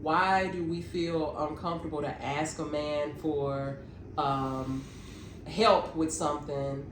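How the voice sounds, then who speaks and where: A woman's voice: low-pitched, steady tone, takes it slowly, roomy sound, background noise. A woman, co-hosting a podcast and earnestly reasoning through her point. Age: 30s. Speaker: podcast co-host. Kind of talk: casual podcast chat.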